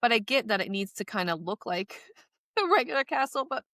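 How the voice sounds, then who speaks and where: A woman's voice: fairly high pitch, big pitch swings, fairly quick, sharp tone, no echo, clean studio sound. An American woman co-hosting a podcast in casual chat, loosely hedging and often saying "like". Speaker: podcast co-host.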